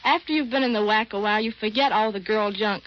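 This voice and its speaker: A woman's voice: medium pitch, fast. An American woman, an army sergeant, being interviewed on a 1940s radio broadcast. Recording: dull vintage 1940s radio recording.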